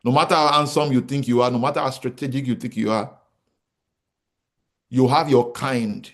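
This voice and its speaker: A man's voice: medium pitch, fairly even intonation, moderate pace. A Nigerian man in his forties, a company founder giving a motivational business talk to an audience, who repeats his key points and asks rhetorical questions. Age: 40s. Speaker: real estate company founder speaking to an audience.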